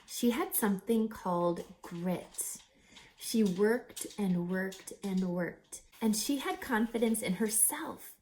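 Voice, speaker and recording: A woman's voice: mid-range voice, slow, darkish tone, slight background noise. A woman reading a children's story aloud as a teacher, dramatic and emphatic, putting rhetorical questions to her listeners. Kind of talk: expressive children's read-aloud with teacherly asides.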